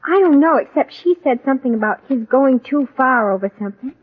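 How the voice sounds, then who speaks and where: A disapproving teenage girl, high-voiced and sweepingly dramatic, with sarcastic exasperation. It has the style of 1940s radio comedy and a tinny, phone-like sound. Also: animated, brisk, breathy edge. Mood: exasperated. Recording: tinny old radio recording.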